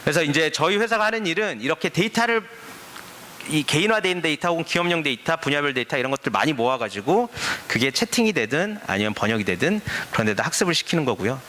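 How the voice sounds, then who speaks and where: A man speaks at a forum, his fairly high, bright voice moving a lot in pitch. He explains step by step and poses rhetorical questions to the audience. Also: lively intonation, fast, slight background noise. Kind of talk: conference presentation. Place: conference stage.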